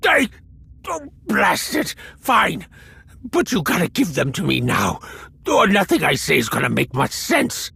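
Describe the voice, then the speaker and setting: Twitchy stim-hooked hacker for a game: high-set, badly husky voice, nervous rambling. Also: wildly swooping pitch, unhurried, pushed and bright, dry recording, full character voice. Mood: pleading, nervous. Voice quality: raspy, scratchy. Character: jittery, eccentric male slicer addicted to stims. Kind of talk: video game voice acting.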